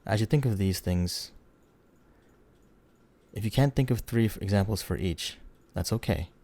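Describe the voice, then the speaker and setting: A man leading a guided meditation in a soothing, unhurried, instructional way, leaving pauses between phrases. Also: lowish voice, gravelly, warm tone. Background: background music.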